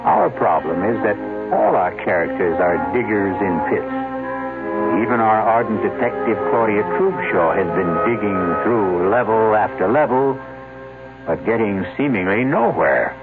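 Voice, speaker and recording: Host with a lowish voice, scripted wry narration, music under, tinny old radio. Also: male voice. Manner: measured, wry storytelling.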